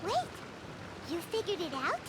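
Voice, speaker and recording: A woman voicing a tiny, excitable fairy-like game companion with a squeaky, childlike voice and a pert, blunt manner. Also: measured pace, heavy background noise. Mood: curious, eager. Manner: pert, exclamatory. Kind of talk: scripted video game voice acting.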